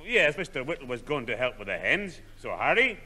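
A man's voice: mid-range voice, big pitch swings, brisk, sharp tone, breathy edge, heavily acted. A man playing an irascible, blustering old grandfather with a Scottish accent in a 1960s radio sitcom. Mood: grudging, blustering.

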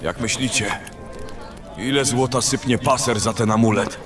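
A man's voice: medium pitch, normal speed, natural voice. Man acting a brash, foul-mouthed adventurer over music. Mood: casual. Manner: coarse, boastful, grumbling. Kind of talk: fantasy audio drama acting.

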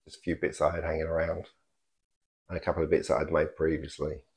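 An older man talking casually through a hobby vlog, explaining things in understated, hesitant, piecemeal phrases with a gravelly voice. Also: rumbling low, flat delivery, fast, darkish tone, slight background noise. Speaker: amateur radio hobbyist vlogger.

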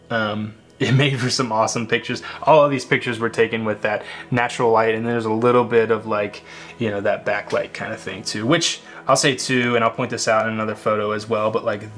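Young man, casual photographer, rambling, mid-pitched, faint guitar music behind. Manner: relaxed, rambling, many fillers. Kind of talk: casual screen walkthrough.

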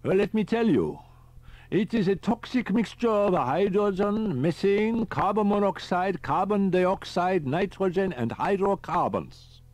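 A man voicing an excitable, precise male professor in an audiobook, speaking formally and deliberately. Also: high-pitched, no echo, pitched above the performer's own voice. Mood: intent.

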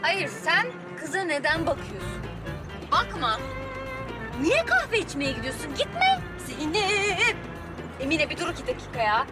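A woman's voice: high voice, very animated, unhurried. A young woman acting a drunk, jealous and defiant figure in a TV drama, stubbornly repeating threats. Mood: jealous nagging. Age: young adult. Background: loud music.

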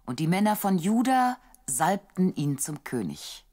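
Low-voiced woman narrator. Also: big pitch swings, measured pace, warm tone. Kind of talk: scripted Bible story narration.

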